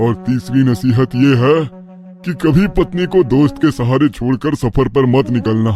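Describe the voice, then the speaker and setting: A man's voice: mid-range voice, a little slow, mellow, faint music behind, lightly acted. A man playing a kindly older innkeeper in a cartoon moral story, speaking in an earnest, advising way. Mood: fatherly, earnest. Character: kind fatherly older male innkeeper giving advice. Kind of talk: Hindi animated moral story dialogue.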